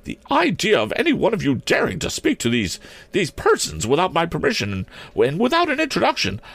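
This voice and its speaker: Indignant, high-pitched elderly headmistress. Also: male voice, wildly swooping pitch, a little fast, gravelly, fairly bright tone, full character voice. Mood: outraged, commanding. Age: older. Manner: haughty, formal, scolding. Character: bristling, determined elderly headmistress, indignant and imperious.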